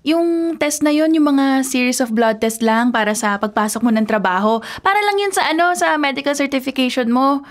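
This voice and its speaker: A woman's voice: high-pitched, normal speed, natural voice. An actress playing a sweet, coaxing young woman, a dutiful daughter and reassuring girlfriend in a radio drama. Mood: cheerful, coaxing. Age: young adult. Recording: music bed under the voice.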